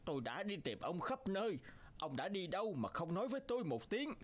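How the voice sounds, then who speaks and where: A man voicing a warm, effusive old wine god in a cartoon fairy tale, full of exclamation. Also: high-pitched, very animated, brisk. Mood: relieved, fond.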